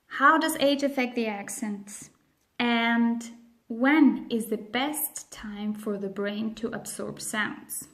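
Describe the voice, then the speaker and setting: Slow, careful Swiss German-accented woman. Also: a little high, a bit bright. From grew up on the other side of the globe. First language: Spanish and Swiss German. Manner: slow, careful, emphatic delivery with frequent pauses. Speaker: language educator. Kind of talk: explainer video lesson.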